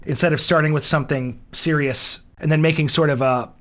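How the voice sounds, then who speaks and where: A man's voice: on the high side, fairly even intonation, a little fast, fairly bright tone. A man co-hosting a podcast in a casual, conversational style. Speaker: podcast co-host.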